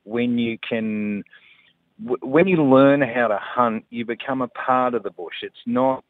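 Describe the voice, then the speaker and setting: A man from New Zealand calling a radio show by phone, telling a story in a halting, solemn, insistent way. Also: mid-range voice, dry recording. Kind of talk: casual anecdote telling. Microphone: telephone. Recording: tinny phone line.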